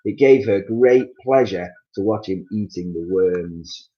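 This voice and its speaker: A man reading a children's story aloud as an expressive narrator, on a slightly muffled recording. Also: sits low, a little slow.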